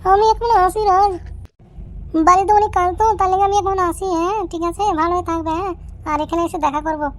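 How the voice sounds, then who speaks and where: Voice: extremely high pitch, little pitch movement, fast talker, a bit bright. A performer voicing a sassy, scornful young female sweetheart who teases and scolds in an amateur comedy cartoon. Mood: coy. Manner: teasing, scolding, name-calling.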